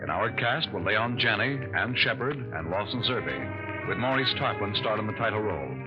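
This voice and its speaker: A man reads credits and promotions in a crisp, formal announcer's manner. His voice sounds dulled like a vintage radio broadcast, with music clearly playing underneath. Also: fairly low pitch, animated, moderate pace, dull audio. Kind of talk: 1950s network radio announcing.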